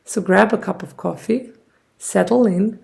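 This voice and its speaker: Host, female, 30s, Serbian native: dark, heavy vocal fry, measured and reflective. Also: medium pitch. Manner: thoughtful, unhurried, speaking English as a second language. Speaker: podcast host. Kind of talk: casual solo podcast talk.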